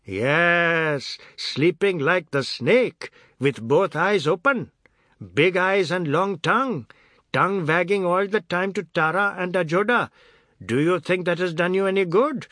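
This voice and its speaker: A man voicing an angry, drunken middle-aged man who accuses and threatens loudly. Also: sits high, unhurried, no room sound, clean studio sound, voice pushed up. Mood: furious. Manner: loud, threatening.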